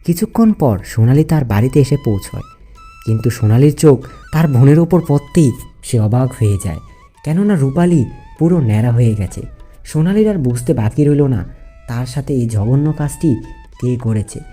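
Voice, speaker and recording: A man's voice: mid-range voice, expressive, moderate pace, mellow, soft background music. A man giving scripted storytelling narration for a cartoon fairy tale.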